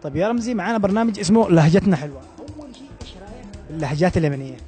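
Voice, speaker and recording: Yemeni man, street interviewer, upbeat brisk questions, very quick, faint background music. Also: high voice, animated, warm tone. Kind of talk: street vox pop interviews.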